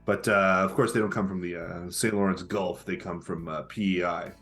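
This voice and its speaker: Male comedy guest, fairly low voice, deadpan and halting banter, soft music present. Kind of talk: casual comic banter.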